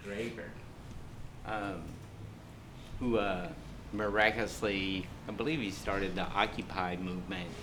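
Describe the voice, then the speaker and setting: A man in the audience making a halting comment, full of fillers like "um" and "like". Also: on the low side, fairly even intonation, background noise. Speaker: audience member. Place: community room.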